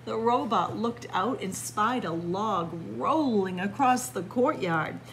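Woman reading expressively to children, lively pitch. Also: takes it slowly. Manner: expressive, addressing young listeners as friends. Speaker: library storyteller. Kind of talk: reading a picture book aloud to children. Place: indoors, library room with bookshelves. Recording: clear background room noise.

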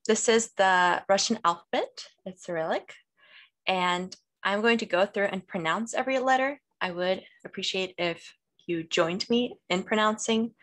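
A woman's voice: mid-range voice, fairly bright tone. A young woman teaching the Russian alphabet on a video call, friendly and laughing easily. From Kyrgyzstan (family). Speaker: student language presenter. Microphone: video call laptop mic.

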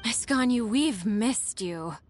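A woman's voice: fairly high pitch, expressive, slow, a little hiss or hum. A woman voicing an admiring, grateful young townswoman in an anime game. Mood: adoring. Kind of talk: anime video game voice acting.

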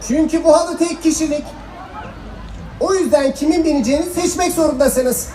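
Young trickster boy, very high voice, projected, playful, children's stage musical, echo, faint crowd. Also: normal speed, warm tone. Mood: sly. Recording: echoey hall stage sound, music, audience.

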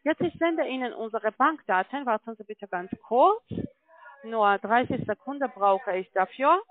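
A non-native German-speaking woman with a foreign accent giving a telephone sales pitch as a call-centre agent, often checking in as she goes. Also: lively intonation, normal speed, dry recording. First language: not German. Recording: muffled, tinny phone line.

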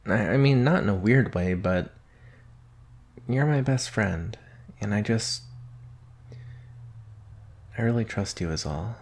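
A man's voice: fairly low pitch, darkish tone. A man playing a nervous young werewolf student with a crush, speaking hesitantly and intimately in an ASMR roleplay. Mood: bashful, awkward. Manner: hesitant, bashful, halting, sighing.

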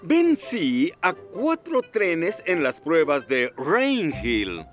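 A man's voice: on the high side, wildly swooping pitch, drawn-out words, faint music behind, heavily acted. A man voicing a proud, boastful old male steam engine in a cartoon dub. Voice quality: boastful, showy.

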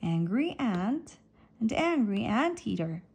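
A woman reading an alphabet picture book aloud for children, deliberately setting apart each letter and phrase. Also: on the high side, sing-song, darkish tone, slightly rough.

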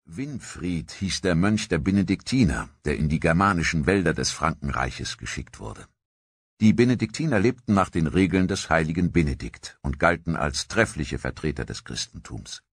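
A man narrating a history documentary in a measured, scripted storytelling manner. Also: deep voice, expressive, husky, no echo, studio quality.

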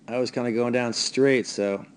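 A man coaching a young ski racer in a voice-over, talking over video in a relaxed, hesitant way with many fillers. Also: medium pitch, moderate pace, smooth.

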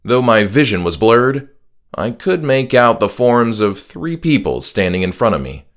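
A man reading a scripted first-person horror story as an audiobook narrator, in a measured, dramatic way. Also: mid-range voice, big pitch swings. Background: music.

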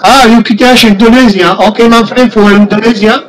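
A man from France with a French accent, a native French speaker, making a radio contact as an amateur operator in a halting, repetitive way. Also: extremely high pitch, fairly even intonation, poor audio. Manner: halting, repeats numbers and phrases.

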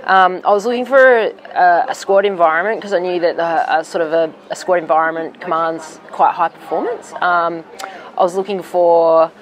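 A woman's voice: on the low side, fairly even intonation, moderate pace. A woman in her thirties, a professional triathlete, answering interview questions outdoors by a street, hesitant with many ums and restarts.

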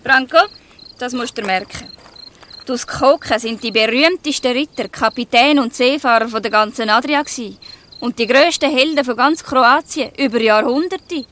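A woman's voice: on the high side, fairly bright tone, slight background noise, lightly acted. A woman playing a proud, spirited young gang leader in a Swiss German audio drama. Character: proud, bold young girl leading a gang. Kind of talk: dialect audio drama acting.

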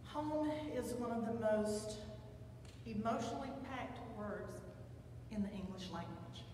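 An older woman pastor with a Southern American accent, reading scripture and preaching in a measured, reflective way. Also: medium pitch, recorded from a distance, background noise. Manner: measured, reflective delivery with slow emphatic pauses. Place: large church sanctuary with pipe organ, at a pulpit. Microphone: far camera, pulpit microphone. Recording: echoey church hall, heard from afar.

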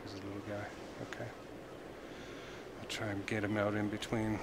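A man's voice: fairly low pitch, monotone, moderate pace. Man giving controller commands over heavy noise. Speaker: gamer playing air traffic controller. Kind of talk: clipped air traffic control commands and muttered commentary. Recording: very noisy, engine drone behind. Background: flight simulator aircraft ambience.

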